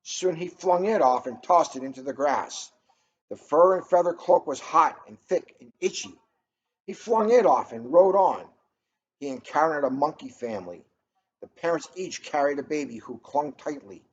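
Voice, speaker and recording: A man reading a children's story aloud in an expressive, dramatic way, drawing out key words for emphasis. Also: on the high side, moderate pace. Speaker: storybook reader. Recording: noisy, dull lo-fi recording.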